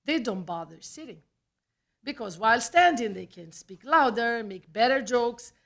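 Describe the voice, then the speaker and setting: A woman with a Brazilian accent, a native Portuguese speaker, reads a personal essay aloud at a live event, with deliberate phrasing and dramatic pauses. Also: fairly high pitch, animated, gravelly. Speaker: writer reading own personal essay. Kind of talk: scripted storytelling read aloud at a live event. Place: small theatre, live reading event.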